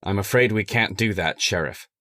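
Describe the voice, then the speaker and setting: A man's voice: on the low side, moderate pace, sharp tone, no room sound, studio quality. A man playing a persuasive, controlling scientist's assistant in a radio horror play, earnest and articulate. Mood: pleading, insistent. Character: calm, stubborn, persuasive scientist's assistant devoted to the experiment. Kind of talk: old-time radio horror acting.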